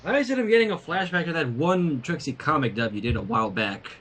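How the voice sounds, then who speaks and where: High-voiced man narrating a story. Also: animated, quick pace, fairly bright tone, breathy edge. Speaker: fan fiction reader and livestream host. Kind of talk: story narration with casual asides.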